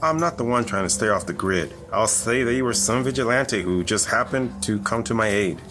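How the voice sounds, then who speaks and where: A man playing a bored, cocky maverick government agent in an audio drama, brash, needling and quick to swear. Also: fairly low pitch, expressive, noisy background, lightly acted.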